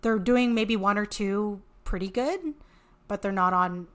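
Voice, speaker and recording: A woman with a Canadian accent speaks as a guest in a casual interview. Her voice sits at a middle pitch. She explains things conversationally, repeating and restarting words as she works through a thought. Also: measured pace. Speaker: podcast guest, author and marketing entrepreneur.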